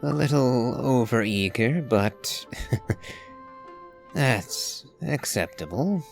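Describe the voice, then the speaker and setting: Slow, creaky-voiced man musing. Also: medium pitch, lively intonation. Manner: measured, musing. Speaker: game streamer. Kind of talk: casual in-character game commentary. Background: game music.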